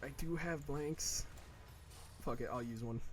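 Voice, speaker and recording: A man's voice: mid-range voice, faint music behind. A man in his early twenties chatting casually while playing a game, rambling with many fillers, restarts and swearing. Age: early 20s. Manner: slow, drawn-out mock whining in quoted asides. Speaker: gaming video creator.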